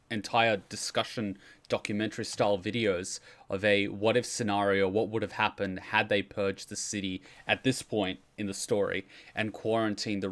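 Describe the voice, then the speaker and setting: A man hosting a reaction stream, giving casual explanatory commentary. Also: lowish voice. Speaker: host of a game lore reaction stream.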